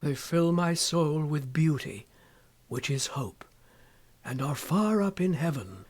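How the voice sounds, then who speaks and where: A man with a fairly high voice gives a dramatic poetry reading. He takes it very slowly, with impassioned phrasing and frequent pauses, often sinking into a hushed delivery. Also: animated. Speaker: poetry reader.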